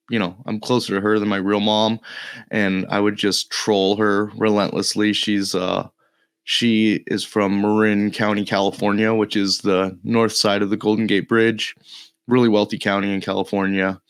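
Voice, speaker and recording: A man who is a little under the weather, with a slightly gravelly voice, chatting casually as a podcast guest in a halting way. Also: fairly low pitch, no echo, studio quality. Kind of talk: casual interview chat.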